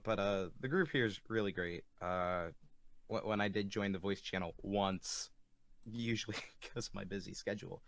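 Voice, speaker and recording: A man who does amateur voice acting, chatting casually and a little haltingly in a group Q&A. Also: low voice, creaky, fairly bright tone, no echo. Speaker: amateur voice actor in a comic dub.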